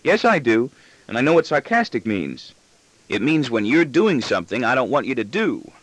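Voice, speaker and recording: A man's voice: mid-range voice, big pitch swings, moderate pace, dry recording, pitched above the performer's own voice, poor audio. A man voicing a bossy, argumentative small boy with childlike insistence in an audiobook story. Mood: accusing. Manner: indignant, insistent, childlike pestering.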